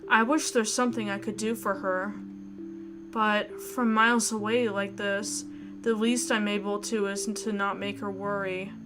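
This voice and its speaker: A woman voicing an anxious, caring young woman narrating a visual novel in the first person with expressive introspection. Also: mid-range voice, a little slow, natural voice. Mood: reflective. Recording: guitar music behind. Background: plucked guitar music.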